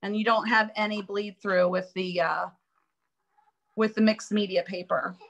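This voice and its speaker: A woman teaching a live online drawing class, chatty and upbeat, thinking aloud as she works, her voice a little raspy from allergies. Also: mid-range voice, steady tone, unhurried, fairly bright tone, dull audio. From Florida. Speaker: art instructor.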